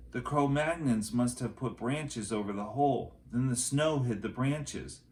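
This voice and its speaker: A man voicing a cautious, bookish young boy adventurer in a storybook, speaking in a nervous, hushed way. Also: medium pitch, measured pace, voice pushed up, lightly acted. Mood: concerned.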